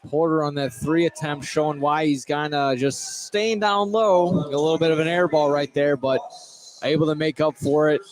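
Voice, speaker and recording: A man's voice: on the high side, moderate pace, crowd noise. A man giving casual, informal color commentary during a live basketball game. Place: indoor high school basketball game.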